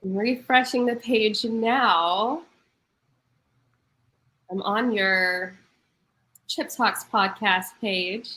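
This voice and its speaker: A woman's voice: medium pitch, extremely slow, long pauses, sharp tone, poor audio. A young adult woman wellness coach, a little congested from a cold, explaining nutrition in an earnest, upbeat podcast chat. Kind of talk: casual podcast talk.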